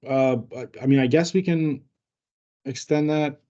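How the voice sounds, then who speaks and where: A man leads an informal work training discussion, answering questions as he goes. His voice is slightly mellow and he explains in a halting, hedging manner. The sound is dull and lo-fi, like an online meeting. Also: on the high side, fairly even intonation.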